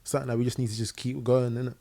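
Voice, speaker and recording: A man's voice: medium pitch, flat delivery, very fast, creaky, dark tone. A young man with a London accent, a guest musician chatting casually in a podcast interview, full of fillers and "innit". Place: living room podcast set. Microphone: boom mic on arm.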